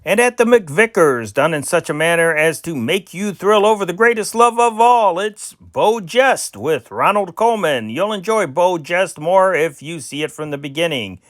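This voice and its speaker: A man hosting an amateur reading of vintage newspaper news and listings, with a halting delivery that stumbles over names. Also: high voice, animated, fairly bright tone, dry recording, clean studio sound.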